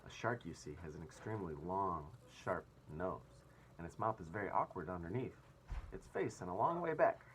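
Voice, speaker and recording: A man narrates a children's story aloud. His voice is low and his intonation very animated and expressive. A faint layer of noise runs behind him. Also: moderate pace.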